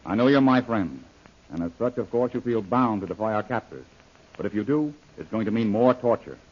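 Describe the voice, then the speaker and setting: An actor playing a brave, self-effacing jungle adventurer, earnest and brisk, in an old-time radio serial. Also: male voice, lowish voice, expressive. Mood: earnest warning. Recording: dull lo-fi old radio recording.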